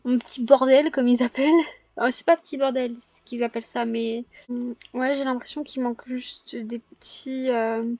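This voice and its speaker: A young woman with a cold and a hoarse voice, chatting casually over her gameplay with lots of pauses and self-corrections. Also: on the high side, fairly even intonation, darkish tone, dry recording, highs cut off. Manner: hesitant, many filler pauses and self-corrections. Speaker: gaming vlogger.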